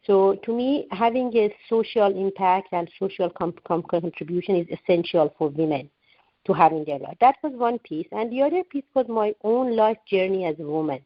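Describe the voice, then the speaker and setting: A woman in her forties, from Iran, talks earnestly over a phone line, often restarting her words. Her voice is mid-pitched and comes through dull and lo-fi. The sound is dry, with no room echo. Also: animated, moderate pace. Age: 40s. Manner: earnest, many restarts and fillers. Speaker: guest author. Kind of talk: radio interview answers.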